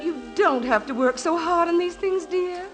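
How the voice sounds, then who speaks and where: High-pitched, fussing radio-play mother. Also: moderate pace. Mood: fond, dismissive. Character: fussing, doubtful mother of a grown son. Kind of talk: 1950s radio musical acting. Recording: 1950s radio broadcast with music.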